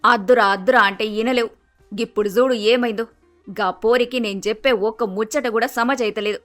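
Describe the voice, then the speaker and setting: A woman's voice: fairly high pitch, steady tone, a little fast, a bit bright, faint music behind, natural voice. A woman playing a bossy, grumpy middle-aged mother-in-law with a Telangana Telugu accent, blunt and scolding, in a story cartoon. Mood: exasperated, scolding.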